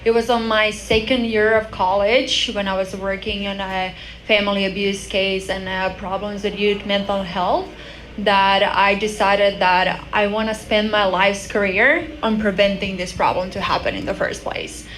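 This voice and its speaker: A woman's voice: medium pitch, flat delivery, normal speed, fairly bright tone, rough recording. Young female founder, Croatian accent, mid-range voice, telling her own story at a live panel with slight background noise. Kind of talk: personal story told on a conference panel. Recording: faint background noise, live event microphone.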